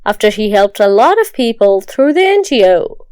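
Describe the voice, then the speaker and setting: A woman's voice: mid-range voice, very animated, normal speed, a little mellow, clean tone, dry recording, studio quality. A woman reading scripted narration for a moral story as a storyteller.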